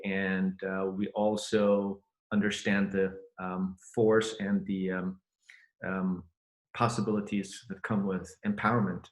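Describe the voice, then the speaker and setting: A man's voice: lowish voice, reverberant. A middle-aged man from Germany hosting a podcast interview, talking earnestly, with many fillers and restarts. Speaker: podcast host. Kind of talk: conversational interview.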